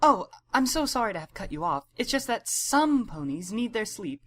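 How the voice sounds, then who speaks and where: Woman playing a receptionist mare, polite, kindly, friendly, slightly high voice, storybook character. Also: very animated, normal speed. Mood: apologetic. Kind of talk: audiobook character acting.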